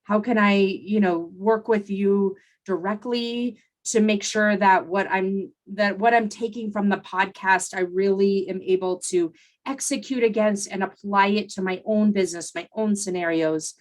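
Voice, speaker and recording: Woman podcast host, mid-pitch, chatty solo coaching delivery, voice just back after laryngitis. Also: steady tone, a little slow. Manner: conversational, with fillers and restarts. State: recovering from laryngitis.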